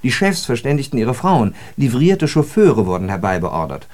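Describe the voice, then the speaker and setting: An actor playing a courteous, worldly Parisian engineer telling an anecdote in a radio drama. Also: male voice, mid-range voice, normal speed, natural voice. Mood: animated storytelling. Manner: animated, courteous, worldly, pointed. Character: urbane Parisian male civil engineer, courteous raconteur. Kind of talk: radio drama monologue, storytelling.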